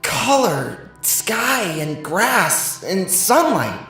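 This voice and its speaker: A woman playing a wistful, awed inner voice, hushed and musing with an echo, in a horror audio story. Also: medium pitch, very animated, very slow, heavily acted. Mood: wistful wonder. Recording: echoey, music faintly behind.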